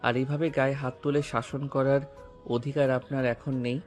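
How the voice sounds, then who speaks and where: A young police officer husband played by a man in a romantic audio drama. He is commanding and confrontational, protective and angry, in a medium-pitched voice with faint music underneath. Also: little pitch movement, normal speed, lightly acted. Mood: protective anger.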